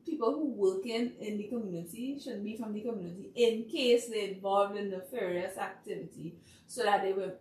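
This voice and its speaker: A Trinidadian woman chats as a guest, telling stories with animation and quick asides. Her pitch sits in the middle for a woman. The sound is roomy and distant, with a faint hiss underneath. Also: a little mellow. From Trinidad and Tobago. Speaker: playwright guest. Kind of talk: casual chat.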